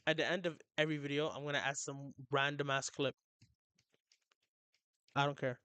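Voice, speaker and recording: Excitable young man, clear dry voice. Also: a little high, normal speed, no room sound. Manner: excitable, rambling, trips over words. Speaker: streamer. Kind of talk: casual livestream chat.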